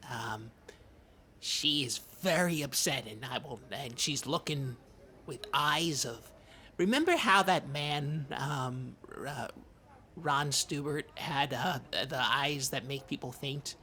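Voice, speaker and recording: A performer playing a dramatic, skittish, childishly petulant male podcast co-host, halting and stammering through improvised comedy chat. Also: medium pitch, sharp tone. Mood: hushed, fearful.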